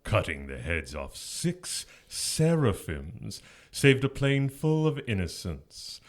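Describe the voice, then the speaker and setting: A man's voice: on the low side, sing-song, takes it slowly, full character voice. A man playing a smug, taunting, seductive fallen angel in an audio drama, with a teasing, sardonic drawl. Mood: gleeful admiration.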